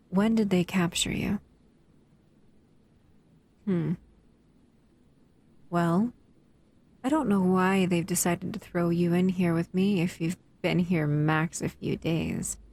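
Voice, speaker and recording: A woman voicing a bored, predatory female humanoid monster who talks in a slow, teasing, condescending way in an audio roleplay. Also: lowish voice, a little mellow, slight background noise.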